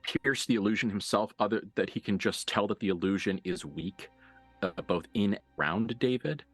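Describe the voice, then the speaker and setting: A man narrating a tabletop horror game as game master, dramatically and deliberately, with pauses for emphasis. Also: lowish voice, fairly bright tone, dry recording, faint music behind.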